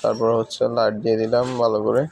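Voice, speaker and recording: A man's voice: fairly low pitch, monotone, fast, creaky, darkish tone. A man with a Bangladeshi accent gives an informal step-by-step repair tutorial in a plain, instructional manner. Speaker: phone repair technician giving a tutorial.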